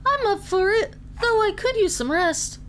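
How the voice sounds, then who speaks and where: A woman voicing a small, plucky young gnome adventurer in a fantasy audio story, curious and expressive. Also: very high voice, moderate pace, slight background noise. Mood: hopeful, tired.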